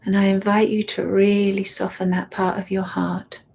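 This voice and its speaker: A woman guiding a meditation, speaking softly and soothingly and often dropping into a whisper. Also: medium pitch, level tone, vocal fry, slightly rough. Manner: slow, soft and soothing, drifting into whispers. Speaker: meditation guide. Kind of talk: guided meditation.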